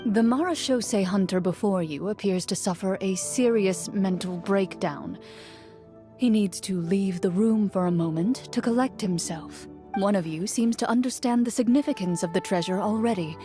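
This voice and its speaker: An actress playing a composed, formal female duelist and monster hunter in a video game, speaking in a measured, reserved manner. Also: medium pitch, over music, close to an everyday voice. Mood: cool, formal.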